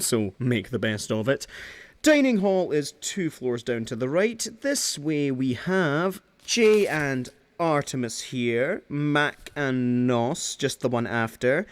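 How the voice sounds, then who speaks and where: A man playing a chipper, slightly awkward base guide in an audio drama, cheerful and unhurried. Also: medium pitch, clear, resonant tone, no room sound.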